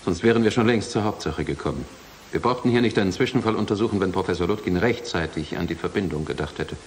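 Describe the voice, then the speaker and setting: An actor playing an assured, impatient scientific official, speaking precisely and assertively in a radio drama. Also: male voice, low voice, quick pace, natural voice. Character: assured, impatient male scientific official defending his experiment. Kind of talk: German science fiction radio drama acting. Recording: hissy audio drama recording.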